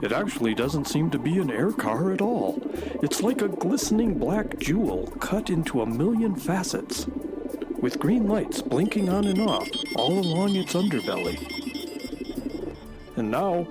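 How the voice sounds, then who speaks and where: A man playing an earnest, excitable traveler on an alien planet in a children's radio play, full of wonder. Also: a little high, a little slow, loud music behind the voice. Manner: wondering, narrates own actions aloud.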